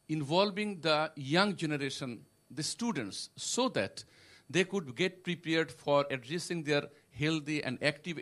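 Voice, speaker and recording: A man's voice: fairly high pitch, fairly bright tone. Earnest man speaking from the floor. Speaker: audience member at a conference. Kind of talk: conference floor comment. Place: conference hall.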